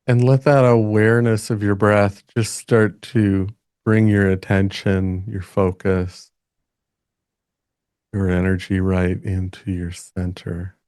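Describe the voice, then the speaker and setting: A man gently guides a meditation in a soft-edged, mellow voice on the low side. He speaks very slowly, in short halting phrases with long pauses. The sound is dry and close, free of room echo. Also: flat delivery.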